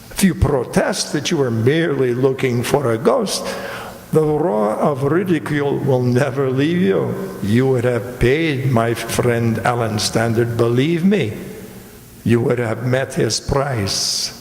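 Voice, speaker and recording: A man plays a clever police commander in a radio mystery, courtly and theatrical. He takes his time, with creak in the voice, in a long, echoing hall. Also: mid-range voice, lively intonation, takes it slowly, darkish tone. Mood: probing, confident. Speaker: amateur radio player. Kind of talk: stage radio mystery acting. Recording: echoey hall, live stage performance.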